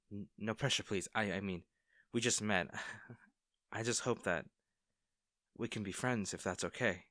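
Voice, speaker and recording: A man playing a kind, bashful stranger in an intimate audio roleplay, speaking gently and playfully with some flustered hesitation. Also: fairly low pitch, dry recording, studio quality. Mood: shy, flustered. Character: kind, bashful male stranger comforting an injured crash survivor.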